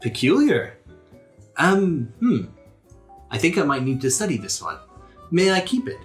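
An eccentric archmage acted out by a male game master in a tabletop game. He speaks higher, blunt and musing, like a scholar absorbed in his studies. Soft music plays behind him. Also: huge pitch swings, measured pace. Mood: curious, fascinated.

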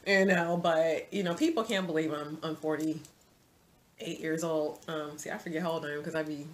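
A woman in her forties giving casual dating advice to viewers from her home, chatty and animated, calling the viewer sis. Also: fairly low pitch. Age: 40s. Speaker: vlogger. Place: home room, indoors.

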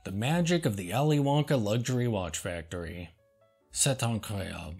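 A man hosting a comedy show, reading product reviews aloud and answering them with deadpan sarcasm. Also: fairly low pitch, husky, soft background music. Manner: dry, sarcastic, slow and deliberate. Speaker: host.

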